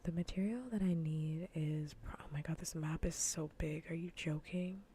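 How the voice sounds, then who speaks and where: Low, soft whispery woman streamer. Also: steady tone, moderate pace, slight background noise. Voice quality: soft, breathy, often whispered. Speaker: livestreamer. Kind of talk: soft-spoken ASMR gaming chat.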